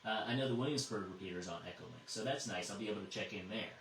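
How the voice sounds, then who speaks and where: An American man hosting an amateur radio net in a casual, halting way, repeating words as he thinks. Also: lowish voice, a bit bright, slight background noise. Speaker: ham radio net control operator. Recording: echoey, distant radio transmission.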